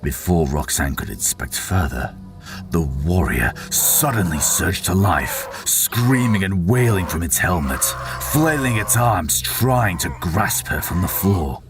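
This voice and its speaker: A man's voice: low-pitched, expressive, takes it slowly. A man narrating a fiction audiobook in a dramatic, scripted storytelling style. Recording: voice over prominent background music.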